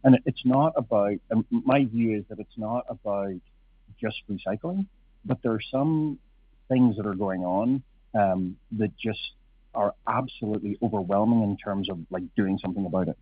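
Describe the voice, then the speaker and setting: A middle-aged man with an Irish accent, a company CEO answering questions at a conference presentation, halting with many restarts. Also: fairly low pitch, dry recording. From Ireland. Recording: husky voice over a tinny phone-like line.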